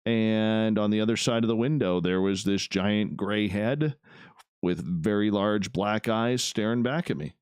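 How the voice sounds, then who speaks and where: A man's voice: lowish voice, measured pace, a little mellow, clear, resonant tone, no room sound, clean studio sound. A man hosting a late-night radio talk show, chatting casually and laid-back, often tacking "man" onto his sentences.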